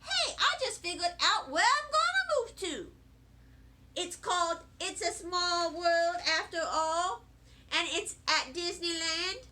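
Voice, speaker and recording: A woman voicing a feisty, stubborn, excitable kindergarten girl in a children's storybook, with childish, emphatic phrasing. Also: very high voice, takes it slowly, a little hiss or hum, voice pushed up, heavily acted. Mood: excited. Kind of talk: children's storybook read-aloud.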